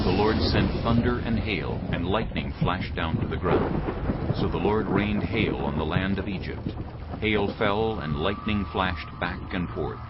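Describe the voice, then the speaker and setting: Low-voiced male scripture narrator. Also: very noisy. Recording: phone-like narrow band, prominent music.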